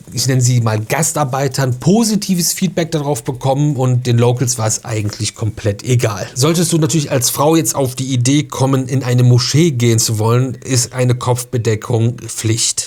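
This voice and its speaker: A man's voice: mid-range voice, a little mellow. A young man hosting a travel vlog, giving casual, chatty tips in an informal, slangy way.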